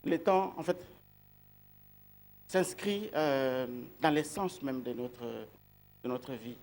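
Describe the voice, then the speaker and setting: A man giving a sermon who grew up outside Belgium. He speaks slowly and deliberately, repeats key words and asks his listeners rhetorical questions. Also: medium pitch, a little mellow. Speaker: preacher.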